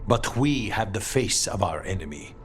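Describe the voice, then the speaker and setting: Game role for a man: stern assassin commander, fairly low voice, terse and determined, faint score behind. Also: lively intonation, normal speed, faint music behind, lightly acted. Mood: grim determination. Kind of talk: video game voice acting.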